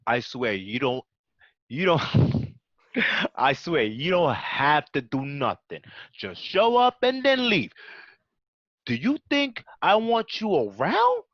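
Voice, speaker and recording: A man playing a brash, foul-mouthed, excitable young gangster in an amateur radio play. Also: fairly high pitch, takes it slowly, no room sound, exaggerated voice. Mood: pestering, indignant. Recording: muffled, dry home recording.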